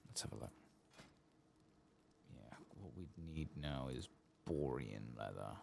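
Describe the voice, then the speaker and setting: A soft-spoken man giving unhurried ASMR gameplay commentary, murmuring to himself and sometimes dropping toward a whisper, close to the microphone. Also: rumbling low, gravelly, a little hiss or hum. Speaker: gaming streamer.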